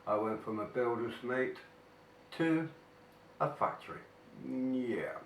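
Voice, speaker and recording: An older man, talking casually through weekly questions while he has a cold, with many ums and mm-hmms. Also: mid-range voice. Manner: slow, chatty, frequent mm-hmms and ums. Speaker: vlogger. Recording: echoey room, mic at a distance, faint noise.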